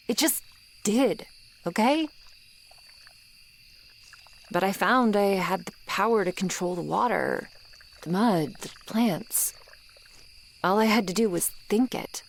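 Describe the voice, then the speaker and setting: A woman playing a gruff, lonely swamp monster girl who was once human, in a grudging, guarded and intimate ASMR roleplay delivery. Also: mid-range voice, a little hiss or hum. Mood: defensive, resigned. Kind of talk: ASMR roleplay, acted monologue to the listener. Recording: swamp ambience of crickets and frogs. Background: insects and frogs, swamp night ambience.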